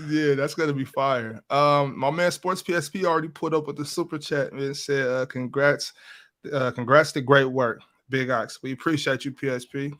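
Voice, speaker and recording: A man hosting a casual interview, talking in a relaxed, slangy way and often repeating words while he searches for them. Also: mid-range voice, normal speed. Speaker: interviewer and show host.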